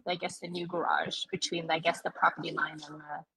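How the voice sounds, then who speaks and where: A woman's voice: low voice, little pitch movement, fairly quick, creaky, fairly bright tone, slightly rough, no room sound, low-quality recording. A woman neighbor asking hesitant questions at a public planning hearing, with many fillers.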